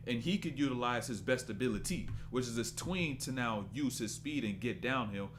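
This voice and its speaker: A man's voice: mid-range voice, noisy background. A man in his twenties doing casual live-stream basketball commentary, loose and rambling as he stops to answer chat. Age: 20s.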